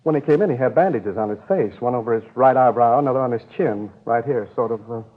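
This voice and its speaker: A pompous, huffy bank official, played by a male actor, speaks quickly and precisely. His medium-pitched voice comes through a thin, band-limited 1950s radio drama recording. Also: narrow, tinny audio, lightly acted. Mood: fussy, precise.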